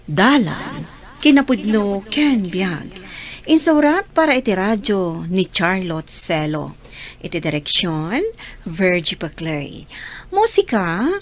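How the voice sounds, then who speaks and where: A woman announcing and narrating a radio drama programme from a script. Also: medium pitch.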